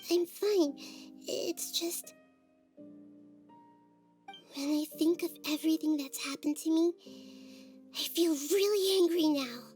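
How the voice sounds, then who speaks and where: Gentle, slow-speaking child deity. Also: female voice, very high voice, faint music behind. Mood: earnest. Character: gentle childlike female deity, earnest and thoughtful. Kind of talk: video game cutscene acting.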